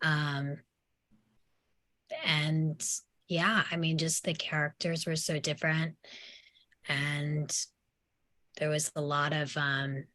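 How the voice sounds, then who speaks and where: Low voiced woman chatting about film, kinda hesitant, very dry close sound. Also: level tone, takes it slowly, pauses often, sharp tone, no room sound. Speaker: discussion participant. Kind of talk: casual film discussion.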